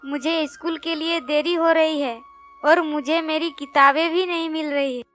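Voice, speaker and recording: Woman voicing a high-pitched innocent little schoolgirl. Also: steady tone, normal speed, fairly bright tone, soft background music. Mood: pleading. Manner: plaintive, childlike questioning. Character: little schoolgirl daughter, sweet, worried, innocent.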